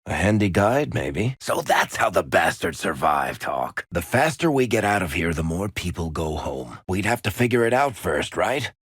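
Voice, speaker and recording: A wry rogue companion from a video game, teasing and sardonic. The gravelly, husky voice sits very low and swings expressively through each line. It sounds close and dry. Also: male voice, sing-song, normal speed, hardly any pauses, a bit bright, no room sound, exaggerated voice. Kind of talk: video game character banter.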